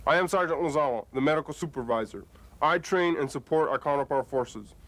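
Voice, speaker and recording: A man, an army medic, giving a scripted self-introduction and quickly reciting his skills. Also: on the high side, fairly bright tone.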